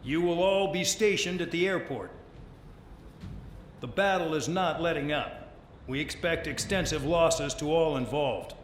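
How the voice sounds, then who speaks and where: An actor playing a stern, menacing air force commander of a penal base in a video game, curt and commanding. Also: male voice, a little high, unhurried, close to an everyday voice. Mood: stern, formal. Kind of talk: video game cutscene acting. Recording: roomy echo with some noise.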